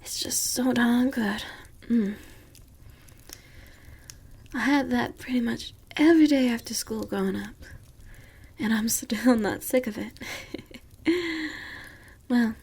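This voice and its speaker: Slow, sweet Southern farmer's daughter acted by a woman. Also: a little high, husky, exaggerated voice. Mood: cheerful, folksy. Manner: gentle, folksy, reassuring, giggly. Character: sweet, comforting young Southern farmer's daughter who bakes. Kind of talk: ASMR roleplay, intimate and slow.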